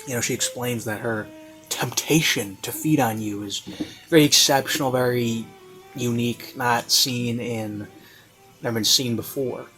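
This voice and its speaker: Male online reviewer, mid-range voice, off-the-cuff and meandering with many ums and likes, over soft classical music. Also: mid-range voice, fairly even intonation, unhurried, rough recording. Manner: rambling, hesitant, filler-heavy. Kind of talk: casual unscripted review commentary.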